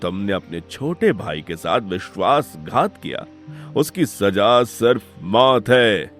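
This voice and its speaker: A man's voice: on the low side, very animated, slow pace, a bit bright, faint music behind, exaggerated voice. Casting: male actor for authoritative fatherly headman, emphatic and righteous, cartoon village melodrama. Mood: outraged, stern. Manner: commanding, emphatic, solemn. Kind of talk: melodramatic cartoon moral-story acting.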